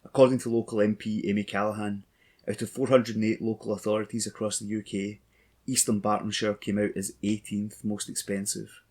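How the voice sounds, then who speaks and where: A man's voice: fairly low pitch, little pitch movement, fairly quick, darkish tone. A man reading local newspaper articles aloud as a volunteer. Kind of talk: scripted news reading for a talking newspaper.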